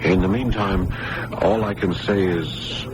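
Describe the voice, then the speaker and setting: A man playing an earnest, concerned hospital doctor in a 1980s radio drama, speaking in a hesitant, measured and reassuring way. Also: low voice. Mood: gentle, concerned. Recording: noisy old radio broadcast recording.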